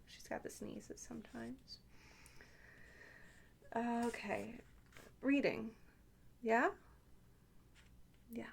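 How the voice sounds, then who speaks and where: A woman's voice: a little high, gravelly. A woman chatting casually and intimately to the listener as an ASMR host, with playful, teasing asides.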